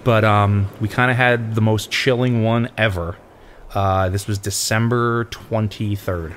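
A man's voice: on the low side, steady tone, measured pace, a little hiss or hum. Rambling middle-aged man telling stories. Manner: rambling, loose storytelling with many fillers. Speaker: podcast co-host. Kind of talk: casual chat.

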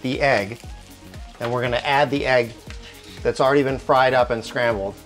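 Calm man teaching a cooking demo. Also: mid-range voice, moderate pace. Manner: calm step-by-step instruction with small restarts. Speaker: cooking show host. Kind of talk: cooking demonstration. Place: home kitchen indoors. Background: soft music and sizzling wok.

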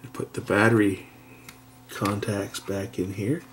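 A middle-aged man giving a casual hands-on narration as an electronics repair presenter, speaking haltingly as he thinks aloud at an indoor workbench. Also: on the low side, little pitch movement, fairly bright tone. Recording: faint background noise, workbench clicks. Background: faint noise, clicks of handled parts.

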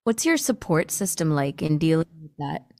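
Low-voiced woman asking reflective questions. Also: big pitch swings, normal speed, dry recording, studio quality. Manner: reflective, gently probing questions. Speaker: guest host. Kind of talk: interview conversation.

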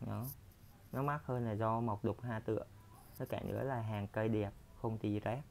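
A man's voice: on the low side, fairly even intonation, normal speed, vocal fry, darkish tone, slight background noise. A man giving a chatty, repetitive sales walkthrough of furniture in his workshop, filmed on a handheld phone. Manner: chatty, repetitive, filler-heavy.

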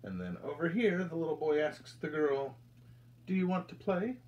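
An American man in his thirties reads a picture book aloud to children with deliberate, expressive storytelling delivery. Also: on the high side, lo-fi sound. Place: indoors, small room with curtain backdrop.

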